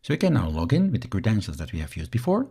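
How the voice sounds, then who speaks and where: A man from Belgium, a native Dutch speaker, explains each step as an instructor in a software screencast tutorial. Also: fairly low pitch, huge pitch swings, quick pace, vocal fry, breathy edge.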